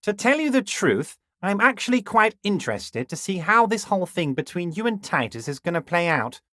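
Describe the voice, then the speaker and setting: A man's voice: sits high, sing-song, normal speed, sharp tone, no room sound, full character voice. Sly folksy boss man in a game, lilting all over, patronizing, dead dry sound. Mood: sly, jovial. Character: smooth, scheming, jovial male union boss. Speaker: video game character. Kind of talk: game dialogue voice acting.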